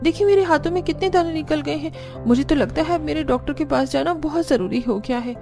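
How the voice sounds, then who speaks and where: A woman's voice: high voice, fairly quick, gravelly, music in the background. A woman voicing a plaintive, dutiful young Indian daughter-in-law in a cartoon family story. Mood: complaining. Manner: complaining, pleading. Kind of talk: Hindi cartoon story acting.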